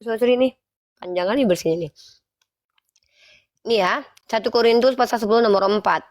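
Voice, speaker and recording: A woman's voice: a little high, brisk, dry recording. Woman, debate host, has a cold, dry close-miked sound, confident and combative. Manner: blunt, challenging, sure of herself. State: slight head cold. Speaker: host of a live religious debate stream. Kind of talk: argumentative live-stream debate.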